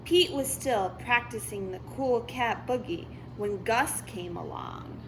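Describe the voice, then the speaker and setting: A woman reads a children's story aloud in a fairly high voice. She goes slowly and expressively, and there is faint noise in the recording. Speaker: story reader. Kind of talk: children's picture book read-aloud.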